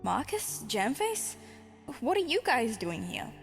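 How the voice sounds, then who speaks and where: Male cartoon mouse lead played by a woman: earnest and concerned, raised pitch, over music. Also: sing-song, takes it slowly, long reverb tail, exaggerated voice. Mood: surprised. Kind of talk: acted character dialogue in fanfic reading. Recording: music under the voice.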